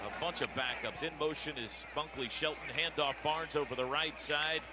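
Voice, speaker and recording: A man's voice: on the high side, normal speed. An American man calling live football play-by-play on the radio in clipped, urgent phrases. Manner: clipped, urgent running description. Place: outdoor football stadium. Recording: stadium radio broadcast, clearly noisy background. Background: steady stadium noise.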